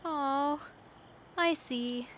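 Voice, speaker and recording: A woman's voice: high voice, very slow, a bit bright, a little hiss or hum, exaggerated voice. An actress playing a foolish, naive young woman in love, coy and swooning, in a comedy horror audio drama. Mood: coy, hopeful.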